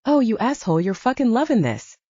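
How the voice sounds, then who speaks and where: A hot-headed, resentful male soldier voiced by a woman narrator, hissing with exasperation. The pitch swings widely in a dry audiobook recording. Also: big pitch swings, a little fast, mellow, slightly rough, no room sound, lo-fi sound. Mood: seething.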